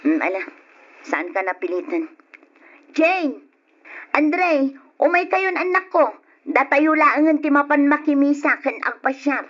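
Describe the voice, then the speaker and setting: A reproachful long-suffering wife, muffled. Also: female voice, high voice, expressive, a little slow, long pauses. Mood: pointed, reproachful. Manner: reproachful, nagging. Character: long-suffering working wife and mother, scolding, exasperated. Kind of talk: Ilocano radio drama acting. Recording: muffled lo-fi old radio recording.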